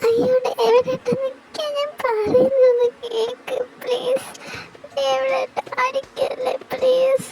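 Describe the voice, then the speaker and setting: A woman's voice: very high voice, little pitch movement, slow pace, vocal fry, heavily acted, poor audio. Woman playing a tearful pleading young woman. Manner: crying, pleading. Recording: voice under loud music.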